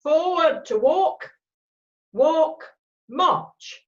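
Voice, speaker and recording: Woman as a slow-spoken, resolute cavalry officer. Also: high voice, lively intonation, dull audio, heavily acted. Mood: commanding. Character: resolute, formal male British cavalry officer. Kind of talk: amateur screenplay read-through.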